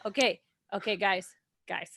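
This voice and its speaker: A woman co-hosting a podcast in casual chat, agreeable and quick to laugh. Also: medium pitch, creaky, crowd noise. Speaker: podcast co-host and player.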